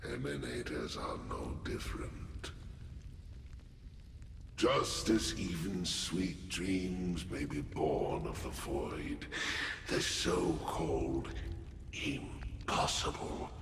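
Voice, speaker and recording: Very slow, gravelly, fatalistic warrior. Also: male voice, expressive, heavily acted. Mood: fervent, grave. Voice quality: gravelly, breathy low voice. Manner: slow, solemn, theatrical delivery. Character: grave, fatalistic male warrior devoted to destruction. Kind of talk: dramatic game cutscene acting. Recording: noisy, echoey, long reverb.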